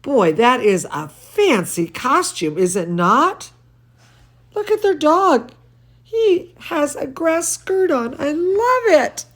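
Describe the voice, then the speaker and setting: Expressive, unhurried woman hosting children's story time. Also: high voice. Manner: gushing, exclamatory, addresses children and pet directly. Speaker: children's bedtime story reader and livestream host. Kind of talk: storybook read-aloud mixed with chatty asides to young viewers.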